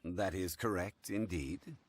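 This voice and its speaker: An actor playing a calm, erudite gentleman in a video game, speaking in a measured, formal, courteous way with music behind him. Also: deep voice, slight background noise. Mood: calmly assured.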